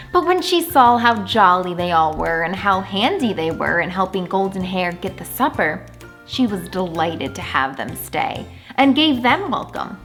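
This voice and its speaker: A woman reading a children's storybook aloud in an animated, deliberate storytelling manner, drawing out words for emphasis. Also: mid-range voice. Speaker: children's story reader and channel host.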